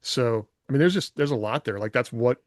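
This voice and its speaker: Male podcast co-host, mid-pitched, reflective and rambling, casual, very dry close sound. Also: a little fast, no echo. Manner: reflective, rambling, hedging with rhetorical questions.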